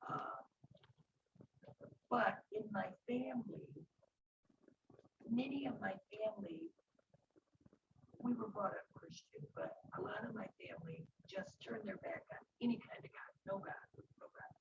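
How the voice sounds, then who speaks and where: A woman with a scratchy, raspy voice speaking haltingly, half in a whisper, as a congregation member on a video call. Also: fairly high pitch, animated, far from the mic. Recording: lo-fi, roomy video call from off-mic with noise.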